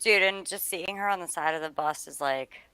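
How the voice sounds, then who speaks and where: A woman co-hosting a casual live show, animated and blunt. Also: fairly low pitch, moderate pace, clean tone, no echo, rough recording. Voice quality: slightly nasal. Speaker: co-host.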